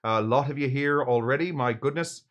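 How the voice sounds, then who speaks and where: A man hosting a casual livestream in a put-on Irish accent, chatting in a halting, rambling, jokey way with mock-grand asides. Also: mid-range voice, a bit bright. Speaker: livestream show host. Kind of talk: casual livestream chat, greeting viewers and presenting drinks.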